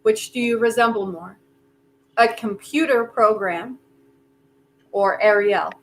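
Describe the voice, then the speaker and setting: Woman playing a defiant inventor: high voice, very slow delivery, emphatic, stubborn, theatrical pauses. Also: a little hiss or hum, poor audio. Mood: insistent. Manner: insistent, dramatic pauses, emphatic repetition. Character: defiant, grieving inventor bargaining stubbornly with Death. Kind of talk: staged reading of a stage play.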